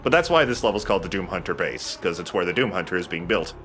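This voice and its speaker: A man's voice: low voice, lively intonation, fast, a bit bright, soft background music. A man hosting a let's play, giving casual gameplay commentary.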